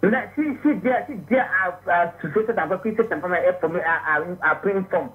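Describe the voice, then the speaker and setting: A man argues religion over a narrow, dull phone line. He speaks haltingly, repeating words several times before he finishes a thought. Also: sits high. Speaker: phone caller. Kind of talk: religious debate.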